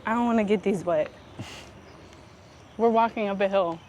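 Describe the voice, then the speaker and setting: A woman speaking reflectively and conversationally as a vlog host, with deliberate, halting phrasing and emphatic pauses. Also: mid-range voice, darkish tone. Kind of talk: reflective conversational talk while walking. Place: outdoors, walking up a paved hill. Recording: noisy outdoor recording with traffic.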